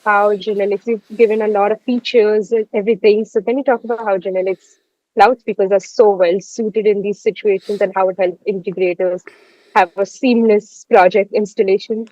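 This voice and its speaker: A woman's voice: mid-range voice, steady tone, normal speed, mellow, no room sound. A woman interviewer putting questions to guests in a conversational way, heard through dull lo-fi audio.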